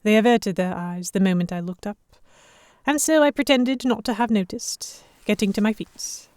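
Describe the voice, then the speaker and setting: A woman's voice: medium pitch, normal speed, darkish tone, dry recording, studio quality. A woman giving scripted first-person narration for a historical audio drama. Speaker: narrator and lead actor.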